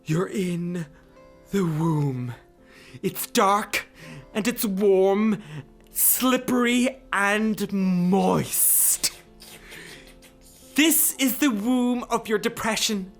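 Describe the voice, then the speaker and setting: An actress playing an overzealous new-age rebirthing guru in a darkly comic radio drama, theatrical and preachy. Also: female voice, mid-range voice, extremely slow, pauses often, faint music behind. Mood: hypnotic, intense.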